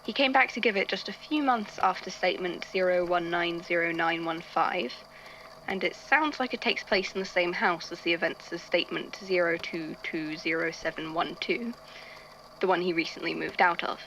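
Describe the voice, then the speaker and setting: A woman's voice: fairly low pitch, normal speed, fairly bright tone, slight background noise, low-quality recording. A woman playing an irritable, bookish male archivist in an audio drama, speaking hesitantly into a recorder and thinking aloud. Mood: pensive, dry. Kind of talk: audio drama narration, recorded case notes.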